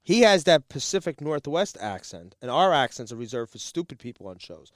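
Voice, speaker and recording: A man's voice: medium pitch, sing-song, fairly quick, dry recording, clean studio sound. A man with a Jersey City accent co-hosting a podcast, talking in a heated, indignant way and often restarting his sentences. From New Jersey. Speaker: podcast co-host. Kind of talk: casual discussion.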